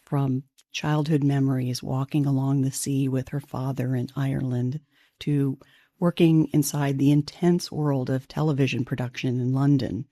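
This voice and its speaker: A woman hosts a podcast, opening with a gentle, reflective introduction before easing into conversation. Her voice is low and mellow in tone. The recording is dry and close. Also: no room sound. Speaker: podcast host.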